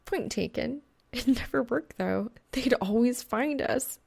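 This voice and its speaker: A narrator plays a mortified young woman gamer in an audiobook. Her voice is thin and often pushed higher, with some gravel in it. She is dramatic, swinging between monotone and whimper. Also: unhurried, heavily acted. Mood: rueful.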